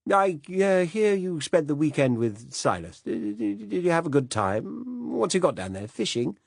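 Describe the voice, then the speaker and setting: A man's voice: on the high side, big pitch swings, normal speed, gravelly, dry recording, clean studio sound, voice pushed up, full character voice. A man doing a dithering old British aristocrat. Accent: British upper-class. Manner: dithering, stammering, apologetic. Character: elderly upper-class British male official, absent-minded.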